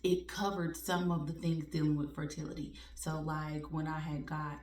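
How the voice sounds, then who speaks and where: A woman in her forties talking candidly and warmly to her viewers in a casual, self-joking way. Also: deep voice, flat delivery, normal speed, roomy sound, recorded from a distance. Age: 40s. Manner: candid, conversational, laughs at herself, uses y'all. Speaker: vlogger. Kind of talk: personal heartfelt talk to viewers.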